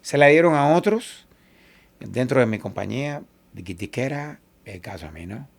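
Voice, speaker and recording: Gravelly Cuban-accented man telling stories. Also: mid-range voice, normal speed. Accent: Cuban Spanish. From Cuba. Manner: animated, quotes others in lively asides. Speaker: salsa singer and guest. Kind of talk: casual interview storytelling.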